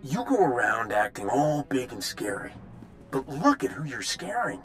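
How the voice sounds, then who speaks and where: Blunt, sardonic spy, lively pitch. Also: male voice, normal speed, faint music behind. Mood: mocking. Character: wry, blunt male spy, easily exasperated. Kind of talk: video game cutscene acting.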